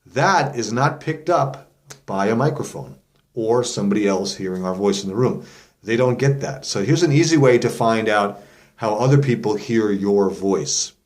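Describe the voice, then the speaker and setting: A man's voice: on the low side, normal speed. A man speaking as a vocal coach, giving a casual, step-by-step explanation in an informal, demonstrative way. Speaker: vocal coach hosting an instructional video. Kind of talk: casual explanatory lesson to camera.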